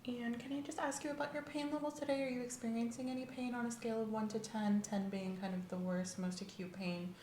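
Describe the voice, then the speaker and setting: A woman's voice: a little high, fairly even intonation, fast, few pauses, a little mellow, close to an everyday voice. A woman playing a courteous, reassuring doctor in an ASMR medical roleplay, asking methodical questions in a polite, professional way. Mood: calm, attentive. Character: courteous, reassuring female doctor doing a checkup. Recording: roomy sound with faint background noise.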